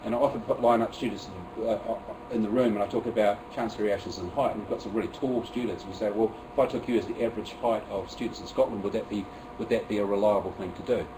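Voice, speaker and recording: A man with a New Zealand accent talks teachers through a classroom method, chatty and self-deprecating. He speaks quickly and is picked up from a distance. Steady room noise runs under his voice. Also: fairly low pitch. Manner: chatty and self-deprecating, many fillers and restarts. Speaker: teacher presenting to fellow teachers. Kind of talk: workshop presentation. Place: room with an audience.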